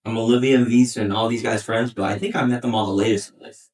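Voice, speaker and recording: A man's voice: medium pitch, steady tone, fast talker, a little mellow. A young man guesting on a casual podcast, speaking hesitantly with lots of ums. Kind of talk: casual chat. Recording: roomy and distant from the mic.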